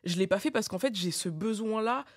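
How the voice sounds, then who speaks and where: Woman in her 20s, talks really fast, chatty debate style, explains n qualifies stuff. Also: medium pitch. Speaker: panel guest. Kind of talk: casual debate.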